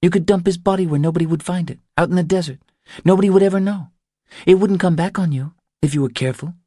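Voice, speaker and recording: A man voicing a small, cowed, desperate woman in an audiobook, pleading in an urgent, breathy way. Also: high voice, quick pace, warm tone, dry recording, clean studio sound, pitched above the performer's own voice. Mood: urgent, insistent.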